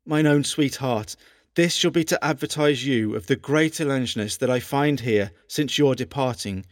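An actor playing an earnest, lovesick English king, reading love letters aloud in a measured, formal, archaic manner. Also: medium pitch, dry recording, clean studio sound, natural voice. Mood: tender, longing. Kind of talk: dramatised reading of historical letters.

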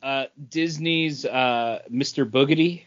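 A man's voice: mid-range voice, slow, highs cut off. A man in casual podcast chat, joking along with his co-hosts in a droll way.

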